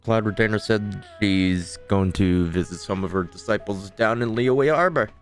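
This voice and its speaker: Low-voiced man playing a video game. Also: moderate pace, faint music behind. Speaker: gamer playing through a story quest. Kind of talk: casual read-along and reactions to a game.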